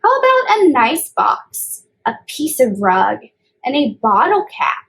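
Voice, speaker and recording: A woman's voice: sits high, huge pitch swings, takes it slowly, vocal fry, a bit bright, exaggerated voice, rough recording. A woman voicing a disapproving, insistent father goat in a children's storybook.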